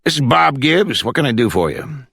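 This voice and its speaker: Audiobook judge, male narrator acting: brusque and cocky, short on patience, folksy bluster, very animated delivery, close dry sound. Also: medium pitch, normal speed, vocal fry, breathy edge, dry recording, voice pushed up, exaggerated voice. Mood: impatient. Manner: curt, folksy, impatient.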